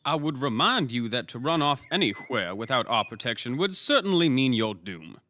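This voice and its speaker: A disdainful elf warrior from a fantasy audio drama. He speaks pompously in old-fashioned formal phrases, in a crisp, forceful mid-range voice with lively swings of pitch. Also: moderate pace. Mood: haughty.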